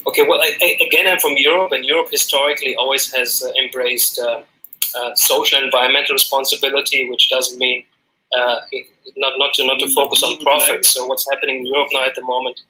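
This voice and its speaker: A man with a German accent speaks as a guest on a remote call. His voice is bright and sharp but stays quite level in pitch. He talks earnestly, with many pauses and restarts. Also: flat delivery, poor audio. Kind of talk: interview answers. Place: Los Angeles, remote call.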